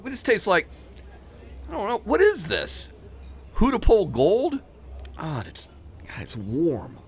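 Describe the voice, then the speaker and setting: A man's voice: sits high, wildly swooping pitch, takes it slowly, slightly rough, exaggerated voice. A man playing a casual, teasing trickster who guides a friend through hell in a comedic radio play. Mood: mildly annoyed. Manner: breezy, teasing.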